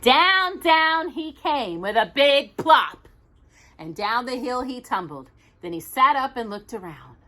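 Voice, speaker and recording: A woman's voice: high-pitched, expressive, slow, pushed and bright. A woman hosting storytime, narrating a children's story expressively with playful asides to a young listener. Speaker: storytime host reading to children.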